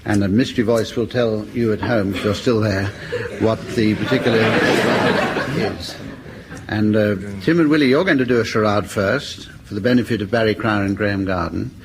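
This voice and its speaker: A man's voice: lowish voice, normal speed, noisy background. A man with a British accent hosting a radio comedy panel show, giving running commentary and instructions.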